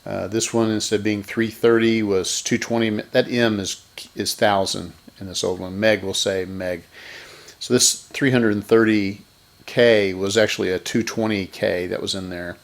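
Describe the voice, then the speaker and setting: A man talks in a fairly low voice with plenty of vocal fry. He explains hands-on repair work without a script. He reasons step by step and corrects himself along the way. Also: fairly even intonation, normal speed. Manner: methodical, thinking aloud with self-corrections. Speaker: electronics repair host. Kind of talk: unscripted hands-on repair commentary.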